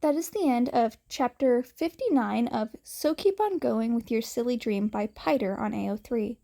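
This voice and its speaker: A woman's voice: fairly high pitch, moderate pace, gravelly, dark tone. A woman reading scripted fiction aloud as a story narrator. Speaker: fanfiction podfic narrator.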